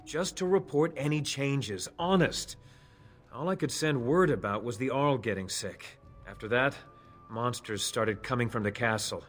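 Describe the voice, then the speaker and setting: A man's voice: mid-range voice, animated, moderate pace, faint music behind, close to an everyday voice. An actor playing a nervous, evasive elf spy in a fantasy game, defensive and pleading as he stammers. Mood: frightened, pleading.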